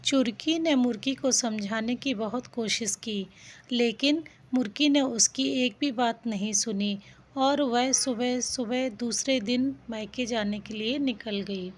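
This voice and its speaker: A woman's voice: a little high, takes it slowly. A woman narrating a children's folk tale as a scripted story.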